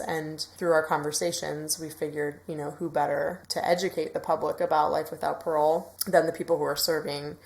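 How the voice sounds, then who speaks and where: Woman, low-pitched, even intonation, interview guest, explanatory delivery. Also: gravelly.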